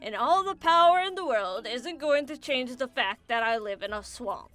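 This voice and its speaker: A woman's voice: sits high, lively intonation, moderate pace, fairly bright tone, soft background music. A woman playing a jaded nine-foot swamp zombie in a comic radio play, chatty and sardonic.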